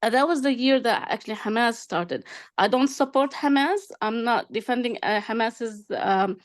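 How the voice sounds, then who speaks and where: A woman's voice: a little high, normal speed. A middle-aged woman from Palestine, a native Arabic speaker with a Palestinian accent, speaking informally and emphatically in a group discussion, explaining as she goes. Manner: emphatic, explanatory, repeating and checking with listeners. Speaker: discussion participant.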